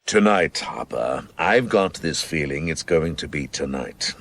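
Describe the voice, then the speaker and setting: A man's voice: rumbling low, lively intonation, measured pace, fairly bright tone, full character voice. An actor playing a sardonic, cocksure and reckless senior policeman in a radio crime drama, clipped and commanding. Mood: cocksure, intent.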